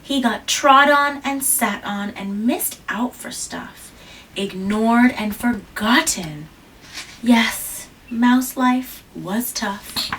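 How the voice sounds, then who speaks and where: A mother reading a picture book aloud to her child at home, gently stopping to ask questions and jumping to a squeaky high pitch on small words. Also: very slow. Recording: distant mic with faint room noise.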